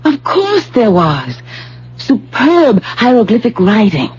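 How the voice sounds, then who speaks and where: A woman's voice: on the high side, sing-song, slow pace, a little mellow, slightly rough, full character voice. An actress playing a headstrong, ambitious young female graduate student in an old-fashioned radio drama, emphatic and self-assured. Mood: pleading, eager. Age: young adult. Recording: dull, hissy old radio broadcast with hum.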